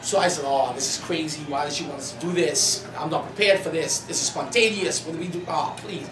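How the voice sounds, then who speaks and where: A man's voice: fairly high pitch, brisk. Bahamian-born man sharing his testimony. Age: 30s. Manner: earnest storytelling, rising to animated emphasis. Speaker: author and pastor. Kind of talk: personal testimony at a bookstore event. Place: coffee cafe in a shopping mall. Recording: roomy, distant, noisy cafe recording.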